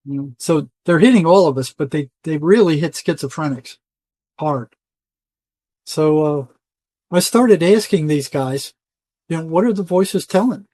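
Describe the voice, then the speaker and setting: Older man, higher-pitched voice, telling stories. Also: a little slow, dark tone, dry recording. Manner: emphatic, colloquial, often quoting imagined dialogue. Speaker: interview guest, retired psychotherapist. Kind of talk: conversational interview storytelling.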